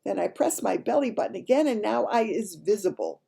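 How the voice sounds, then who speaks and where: Woman doing an excitable, mischievous young boy, swooping pitch. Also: brisk, gravelly, slightly rough, exaggerated voice. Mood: gleeful, boastful. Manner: ungrammatical, gleeful storytelling.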